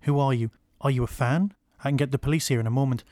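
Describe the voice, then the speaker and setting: A nervous Scottish writer, as a male narrator puts him on, startled and quick to bluster. He has a mid-range voice, recorded close and dry. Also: mid-range voice, darkish tone, dry recording, close to an everyday voice.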